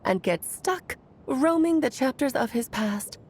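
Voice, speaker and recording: A woman voicing a playful, caring magical friend who praises warmly and warns gravely. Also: high-pitched, a little slow, slight background noise. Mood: earnest. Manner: expressive, swinging between bright praise and grave caution. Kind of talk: video game voice acting.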